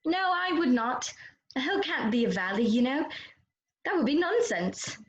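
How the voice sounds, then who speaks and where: A woman's voice: high-pitched, very animated, moderate pace, roomy sound, dull audio. A woman playing an earnest, polite, puzzled young girl in a children's readers' theater performance. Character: lost, polite but questioning young girl.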